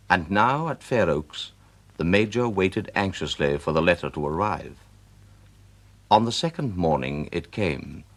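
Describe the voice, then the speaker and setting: A man with an English accent giving measured, scripted literary narration for a period radio drama. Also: low voice. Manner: measured storytelling.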